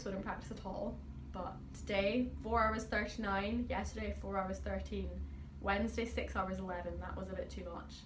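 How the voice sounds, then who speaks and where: A young adult woman from Scotland with a Highland Scottish accent, chatting upbeat through a Q&A as a pianist vlogger. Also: reverberant, a little hiss or hum. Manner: chatty and upbeat, reads out questions then answers. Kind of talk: casual Q&A chat.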